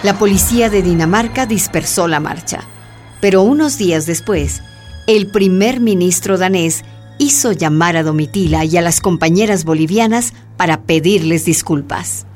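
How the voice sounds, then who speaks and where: Measured woman narrator over music. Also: on the low side, darkish tone. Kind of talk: scripted radio drama narration.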